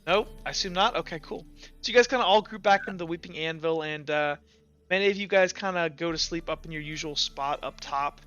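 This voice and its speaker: Male game master, higher-set clear voice, informal improvised narration over music, slightly muffled. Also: a bit bright. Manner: halting, informal.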